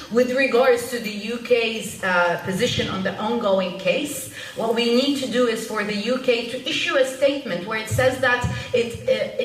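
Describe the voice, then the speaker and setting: Woman panel speaker, mid-pitched voice, earnest and urgent, recorded from a distance. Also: a bit bright. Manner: earnest, urgent, pausing often to find words. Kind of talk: public panel talk. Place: public meeting room at a panel event. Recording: echoey room from far off, faint noise.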